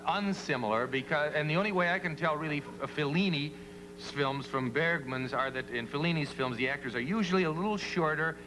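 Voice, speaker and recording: A man's voice: on the high side, a little fast, natural voice. An actor playing a clueless, cheerful talk show sidekick who rambles and chuckles through his lines on a 1970s satirical show. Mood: cheerful rambling. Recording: noisy 1970s TV tape, studio audience laughter.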